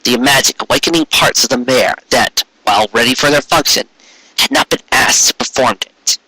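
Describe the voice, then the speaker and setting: A man reading a story aloud as a dramatic narrator, with expressive emphasis and wry asides. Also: mid-range voice, normal speed, breathy edge, dry recording, low-quality recording. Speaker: fan fiction reader.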